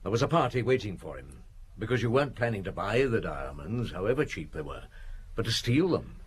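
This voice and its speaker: An actor playing a shrewd, authoritative chief inspector with a husky voice in a radio murder mystery. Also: male voice, fairly low pitch, very animated, a little fast, exaggerated voice. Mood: brisk interrogation. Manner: probing, authoritative, wry. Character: shrewd, commanding male police chief inspector.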